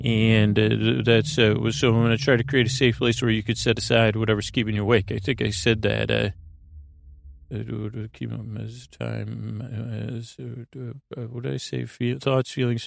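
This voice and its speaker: A man with a creaky voice hosting a bedtime podcast in a soothing, lulling, meandering monologue that halts and drifts into tangents. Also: lowish voice, level tone, dry recording. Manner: meandering, halting, trailing off into tangents and repeats. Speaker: host of a bedtime story podcast. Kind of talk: rambling bedtime monologue to lull listeners to sleep.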